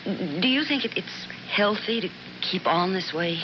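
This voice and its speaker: A woman's voice: medium pitch, expressive, measured pace, natural voice. An actress playing a concerned, practical married woman, frank and probing, in 1970s radio drama style. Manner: probing, earnest. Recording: dull, noisy vintage radio recording.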